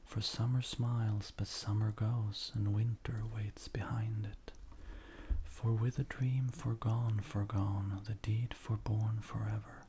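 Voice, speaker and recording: Soft whispery guy doing asmr poetry reading, really slow n mellow, breathy, measured. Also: lowish voice, level tone. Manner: gentle, unhurried, measured. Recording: faint background noise.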